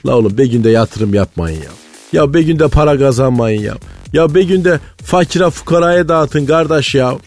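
Man as folksy, pious radio-comedy pundit, Malatya accent, mid-range, rambling earnestly over soft music. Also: mid-range voice, expressive, moderate pace, natural voice. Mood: conspiratorial, insistent. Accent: Malatya, eastern Anatolian Turkish. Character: pious, conspiracy-minded provincial male economy guru, rambling storyteller. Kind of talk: comic radio character monologue. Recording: studio voice over background music.

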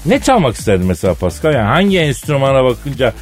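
Male radio co-host, lively swooping intonation, colloquial teasing banter, faint music underneath. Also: medium pitch, fast talker. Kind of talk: casual comic banter. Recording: music bed behind the voice.

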